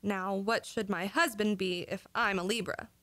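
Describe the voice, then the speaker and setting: A woman's voice: mid-range voice, steady tone, moderate pace, fairly bright tone, no echo, lightly acted. A naive housewife acted by a woman in an old-time radio comedy. She is chatty and earnestly muddled, with a scatterbrained innocence, recorded dry and close. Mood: innocent, chatty. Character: naive, scatterbrained, chirpy young-ish housewife who garbles words.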